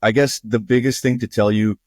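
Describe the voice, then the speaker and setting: A man's voice: mid-range voice, fairly even intonation, fairly quick, a little mellow, no echo, clean studio sound. A young man from Jordan, a music producer guest, earnestly walking through his process in a casual interview.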